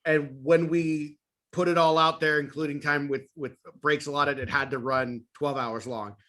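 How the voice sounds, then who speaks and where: A man's voice: a little high, little pitch movement, moderate pace, sharp tone. A man of about thirty, a guest on a podcast, chatting in an upbeat, rambling way full of "uh" and "like.". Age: 30s. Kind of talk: casual chat.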